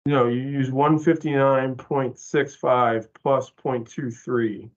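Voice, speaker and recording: Halting man in dull meeting audio. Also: mid-range voice, fairly even intonation. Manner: halting, self-correcting. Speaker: staff member explaining billing rates. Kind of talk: informal explanation in a work meeting.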